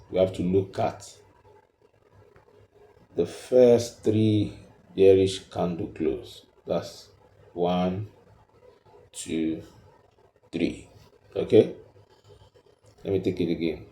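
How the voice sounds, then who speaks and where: A man giving a step-by-step tutorial, explaining haltingly and repeating himself as he points things out. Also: low-pitched, dark tone, reverberant. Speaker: trading tutor.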